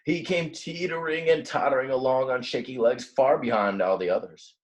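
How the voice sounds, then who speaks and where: A man's voice: mid-range voice, normal speed. A man reading a children's book aloud expressively, like a teacher, with simple, casual explanations along the way. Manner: explains words simply in plain asides. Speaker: read-aloud storyteller, teacher.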